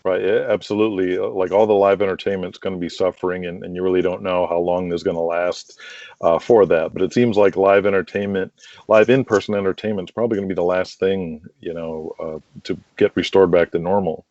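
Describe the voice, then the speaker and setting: Chatty guy on a podcast interview, relaxed dark voice, dull lo-fi dry sound. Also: low voice, brisk, dry recording. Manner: chatty, laughs often. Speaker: podcast guest, wrestling promoter. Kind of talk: casual conversational interview. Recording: muffled lo-fi call audio.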